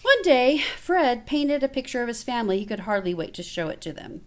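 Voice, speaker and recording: Animated, high-pitched woman reading aloud. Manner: expressive, pausing to comment to children. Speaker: elementary teacher reading aloud. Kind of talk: picture book read-aloud with casual asides.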